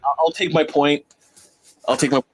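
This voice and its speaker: Casting: male panel debater, voice a little high, casual, playfully combative, hammers points by repetition. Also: steady tone, fairly quick, breathy edge, soft background music. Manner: emphatic, repeats himself, playfully combative.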